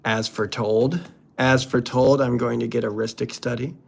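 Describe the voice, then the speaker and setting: A man's voice: mid-range voice, flat delivery, moderate pace, vocal fry. A man hosting a casual unboxing commentary, talking in a playful, jokey, exclamatory way. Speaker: host opening card packs.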